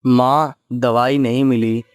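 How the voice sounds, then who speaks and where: A man's voice: mid-range voice, flat delivery, slow pace, clear, resonant tone, no echo, close to an everyday voice. A man voicing a sorrowful, luckless poor young village son in a story cartoon, plaintive and earnest. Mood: worried. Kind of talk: acted dialogue in a moral story cartoon.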